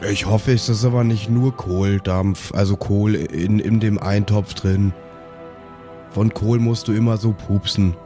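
Man playing a low, mellow, cheeky dwarf. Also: steady tone, a little slow, faint music behind, lightly acted. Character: cheeky dwarf brother with crude humour. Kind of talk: improvised fantasy audio drama.

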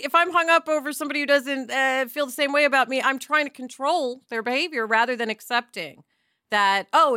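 A woman's voice: sits high, pushed and bright, no room sound, studio quality. A woman talking casually as a podcast panelist, with a candid, informal and emphatic manner. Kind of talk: casual conversational discussion.

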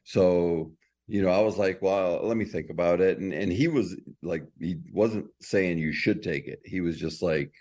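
A middle-aged man being interviewed, answering in a relaxed, agreeable way. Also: low-pitched, normal speed. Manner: short, agreeable replies. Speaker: guest. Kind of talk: casual interview chat.

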